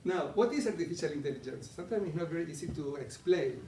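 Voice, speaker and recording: Middle-aged argentinian guy presenting to a room, chatty, bit high voice, echoey with faint background hum. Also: animated, fast talker, warm tone, distant mic. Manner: conversational, asks the audience questions. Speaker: coaching presenter. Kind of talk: live interactive presentation. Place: meeting room with a live audience.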